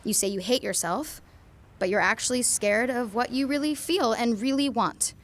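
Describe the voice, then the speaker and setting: A woman's voice: a little high, moderate pace, natural voice. A young woman playing an emotional teenage girl speaking an intimate monologue to her boyfriend in a radio drama. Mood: tender. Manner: intimate monologue, swings from quiet to pleading.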